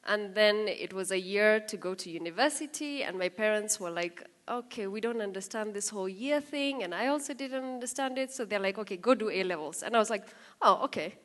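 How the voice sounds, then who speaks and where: A woman in her thirties from Kenya with a Kenyan accent, telling her life story in a lively talk with asides and acted-out quotes. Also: medium pitch, fairly quick. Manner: lively, laughing, acts out quoted voices. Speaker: speaker giving a talk.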